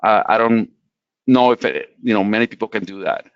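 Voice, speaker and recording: A man's voice: on the low side, fairly quick, no room sound. Man from latin america, english speaker, earnest advice, dry muffled webinar sound. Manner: earnest, explanatory, frequent restarts. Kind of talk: career advice talk.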